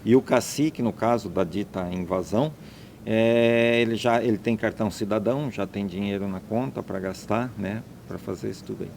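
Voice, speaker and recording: Brazilian man, interview guest, gravelly fry, emphatic, faint room ambience. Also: on the low side, moderate pace, a little mellow, slight background noise. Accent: Brazilian Portuguese. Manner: emphatic, keeps checking with "entendeu?". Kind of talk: conversational interview.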